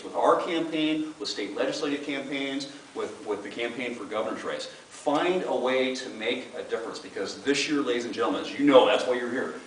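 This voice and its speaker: A man running for office, giving an earnest campaign speech to a room of supporters and often repeating words as he starts a sentence. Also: medium pitch. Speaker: political candidate. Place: meeting room with an audience. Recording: echoey room, far from the mic, faint hiss.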